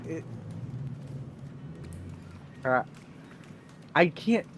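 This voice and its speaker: Stammering flustered young man, wide pitch. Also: expressive, drawn-out words, a little hiss or hum. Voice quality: slight raspiness when his voice goes high. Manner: stammering, restarting, flustered and laughing. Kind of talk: flustered casual chat.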